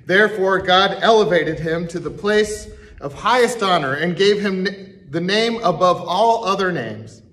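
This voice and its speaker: A middle-aged pastor reads scripture in a church sanctuary, pacing it slowly and leaning on his pauses. His voice sits a bit high for a man. The camera picks him up from a distance. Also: lively intonation, fairly bright tone. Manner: deliberate, slow reading with emphatic pauses. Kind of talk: reading scripture aloud in church.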